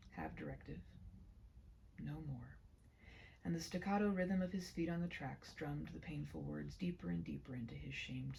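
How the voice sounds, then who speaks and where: A woman reads her own short story aloud in a low, gravelly, mellow voice. She often drops into a whisper and takes slow, deliberate pauses. A faint hiss sits under the recording. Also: low-quality recording. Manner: hushed, often dropping into whispers. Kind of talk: read-aloud of a literary short story, soft and ASMR-leaning.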